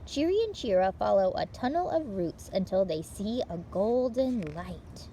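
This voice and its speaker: A woman hosting story time for young children, reading aloud in an animated, encouraging way with simple phrasing. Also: mid-range voice, measured pace, slight background noise, rough recording.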